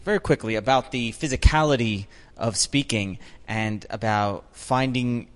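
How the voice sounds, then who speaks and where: A man moderating a conference Q&A in a measured, friendly way. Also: mid-range voice, big-room sound. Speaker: event moderator. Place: conference room.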